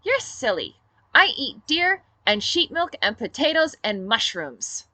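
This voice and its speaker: A woman's voice: sits high, sing-song, measured pace, sharp tone, no echo, voice pushed up, full character voice. A woman voicing a cheeky, affectionate little girl in an audiobook story, with a playful childlike manner.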